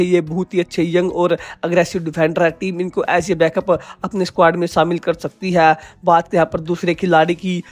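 A man gives a scripted voice-over about a sports auction, steadily explaining and addressing viewers as friends. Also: high voice. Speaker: kabaddi video presenter.

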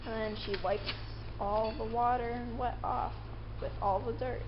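High-voiced young woman explaining in a barn. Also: fairly even intonation, a little slow. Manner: plain step-by-step explaining, a little unsure at times. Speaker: farm vlogger explaining milking. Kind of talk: casual explanatory narration. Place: indoor dairy barn. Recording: dull lo-fi camera audio with loud barn noise.

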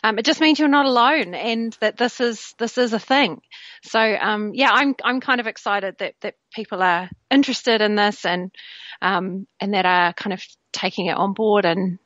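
A woman's voice: medium pitch, normal speed, no room sound, highs cut off. A woman from New Zealand, a coach and interview guest, talking candidly with many ums and restarts. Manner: warm, candid, lots of fillers and self-corrections. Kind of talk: conversational interview.

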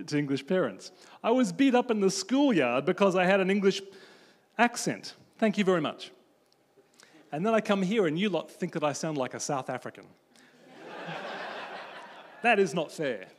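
A man from Australia giving a conference talk about faith and friendship, telling stories in an animated way as he moves around a large stage with a handheld mic. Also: fairly high pitch. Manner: animated storytelling, pacing the stage. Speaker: conference speaker. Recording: long hall reverb.

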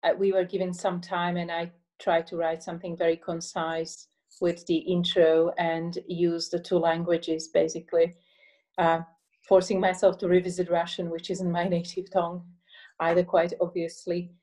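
A middle-aged woman sharing her experience on a video call from her living room, measured and articulate. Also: lowish voice, little pitch movement, a little mellow, poor audio. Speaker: festival participant.

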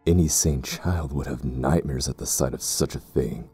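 A man playing a sinister, condescending zealot in an audio roleplay drama, speaking in a formal, measured way. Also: a bass voice, creaky, warm tone, soft background music. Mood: mocking, condescending. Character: sinister cursed brother, pompous, coldly condescending zealot.

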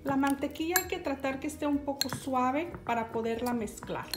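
A woman hosting a home cooking show, calmly walking viewers through each step. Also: sits high, normal speed, music in the background. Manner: calm step-by-step instruction, addressing viewers.

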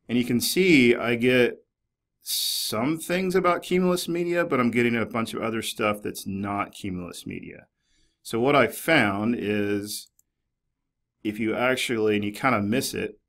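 Low-voiced man giving a casual tutorial. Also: a bit bright. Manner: conversational, informal, hedging with "basically" and "kinda". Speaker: university librarian presenter. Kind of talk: screencast tutorial, casual explanatory talk. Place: small office, indoors.

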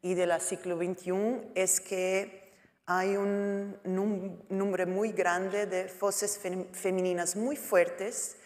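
A woman speaking in her second language with a Portuguese accent, a writer on a panel, halting and searching for words. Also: mid-range voice, level tone, a little mellow. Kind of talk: panel talk. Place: lecture hall. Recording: long hall reverb.

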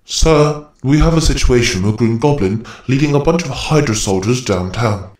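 A man's voice: mid-range voice, animated, darkish tone, lightly acted. A man voicing a formal AI butler, echoey. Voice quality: formal, measured. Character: calm formal male AI butler.

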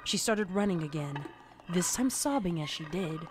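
A man's voice: high-pitched, lively intonation, moderate pace. Male horror story narrator over noisy ambience. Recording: clear background noise and ambience.

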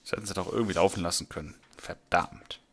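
A man's voice: sits low, fast talker, creaky, a bit bright, slight background noise. A man hosting a let's play with casual, rambling commentary, half talking to himself. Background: video game sounds.

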